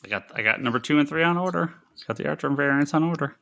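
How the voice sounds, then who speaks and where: Male podcast co-host, forceful bright tone, exasperated ranter, casual banter with repetition and profanity. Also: a little high, fast, gravelly.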